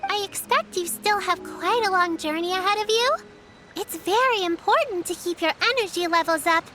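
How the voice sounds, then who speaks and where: A woman voicing a well-mannered, caring little girl in a video game, earnest, formal and gently instructive. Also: extremely high pitch, moderate pace, slight background noise. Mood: kind, hospitable.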